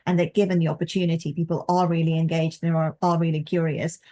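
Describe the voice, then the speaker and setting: A woman's voice: low-pitched, little pitch movement, fast, dark tone. A young woman researcher in a reflective conversation, speaking articulately and thoughtfully with hesitant phrasing. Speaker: postdoctoral folklore researcher and co-host.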